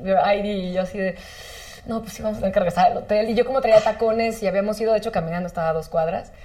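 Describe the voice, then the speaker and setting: A woman's voice: medium pitch, very fast. A woman, a talk show guest, telling a funny story in casual chat and acting out the lines of the people in it. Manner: animated storyteller, acts out quoted lines.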